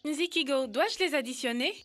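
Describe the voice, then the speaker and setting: Eager little girl for a kids' learning cartoon; high pitch, methodical explainer. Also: lively intonation, moderate pace, fairly bright tone, soft background music. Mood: eager, explaining. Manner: explains step by step. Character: Kibena, eager young girl learning to count. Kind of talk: French-dubbed educational children's cartoon.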